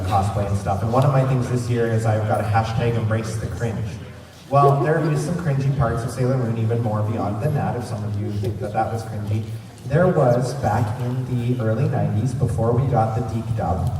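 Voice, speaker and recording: A man hosting a convention panel, talking casually to an audience of fans. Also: medium pitch. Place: large convention centre panel room. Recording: very echoey hall, distant, crowd.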